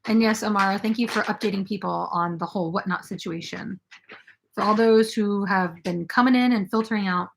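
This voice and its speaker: A woman's voice: mid-range voice, vocal fry. A woman hosting a crochet live stream from her bedroom, chatting casually and rambling with fillers into a camera on a tripod. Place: bedroom at home.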